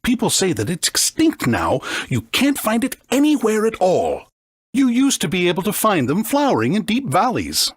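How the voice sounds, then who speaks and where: A woman's voice: medium pitch, wildly swooping pitch, normal speed, breathy edge, no echo. A woman voicing a polite, regretful bistro cook in a video game. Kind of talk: English game dub.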